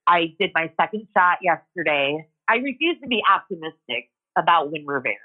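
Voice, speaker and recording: A woman speaking frankly about her own life, sharing her story as a patient in a reflective testimony. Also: fairly low pitch. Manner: frank, tells it like it is. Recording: muffled phone-line audio.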